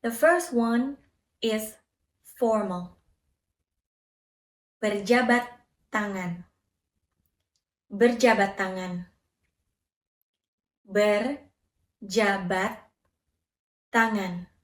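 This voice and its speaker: A young Indonesian woman with an Indonesian accent, presenting a language and culture lesson in a slow, deliberate way and demonstrating phrases. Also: mid-range voice. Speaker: language teacher. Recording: roomy echo with soft music.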